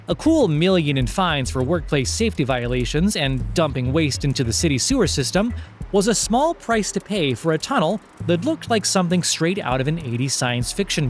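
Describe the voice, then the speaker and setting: A man narrating scripted satirical fiction in a sardonic, mock-earnest storytelling manner. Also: on the high side.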